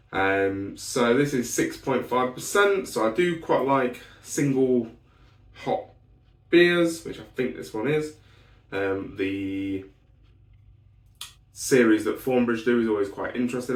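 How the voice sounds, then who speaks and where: A man's voice: lowish voice, roomy sound, far from the mic. A man reviewing beers in a casual, rambling way, his voice still recovering after an illness. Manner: rambling, lots of ums and restarts. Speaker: beer reviewer. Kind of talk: casual solo beer review.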